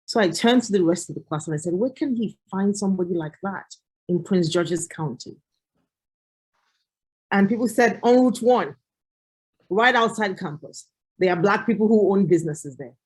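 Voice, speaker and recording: Husky Nigerian-born woman farmer. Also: medium pitch, normal speed, long pauses, rough recording. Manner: animated storytelling. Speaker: farmer and agriculture lecturer. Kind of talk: panel discussion.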